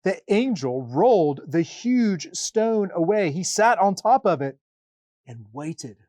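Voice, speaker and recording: A man reading a children's Bible story aloud as a priest, with dramatic, deliberate phrasing and pauses. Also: high-pitched, very animated, darkish tone, no room sound.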